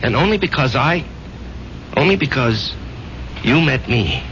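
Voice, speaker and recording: An actor playing a troubled, devoted man in a 1970s radio drama, halting and repeating his words. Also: medium pitch, animated, slight background noise. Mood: uneasy, probing. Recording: dull 1970s radio recording, loud music.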